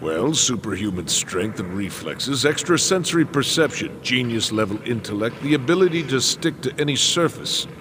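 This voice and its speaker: An actor playing a pedantic, sarcastic voice inside a video game antihero's head, deadpan, with a reverberant effect. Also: male voice, medium pitch, moderate pace, hardly any pauses. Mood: dry, sarcastic. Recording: long reverb, game ambience noise behind.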